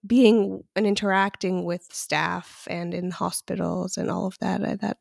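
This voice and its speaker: A woman hosting a birth story podcast as a doula, friendly and quick to laugh, with many fillers. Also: lowish voice, steady tone, vocal fry, a little mellow, no room sound, studio quality. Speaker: podcast host and postpartum doula. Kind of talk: podcast interview with scripted intro.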